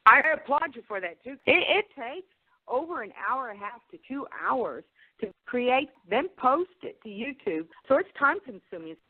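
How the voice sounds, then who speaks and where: A woman's voice: on the high side, sing-song, moderate pace, husky, no echo. A woman co-hosting an interview show in a casual conversational style. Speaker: podcast co-host. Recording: dry, narrow telephone-like audio.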